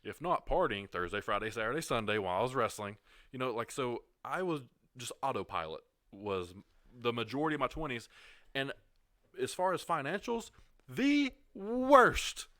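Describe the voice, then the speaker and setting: A man's voice: mid-range voice, big pitch swings, moderate pace, bright, forceful tone, dry recording, studio quality. A man in his twenties, a podcast guest, talking candidly and reflectively in casual chat. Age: 20s. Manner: candid, self-reflective storytelling.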